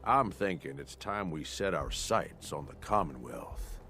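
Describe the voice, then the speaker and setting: An actor playing a rough, scheming male raider lieutenant with a streetwise, persuasive way of talking. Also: sits low, expressive, a little slow, bright, forceful tone, soft background music, exaggerated voice.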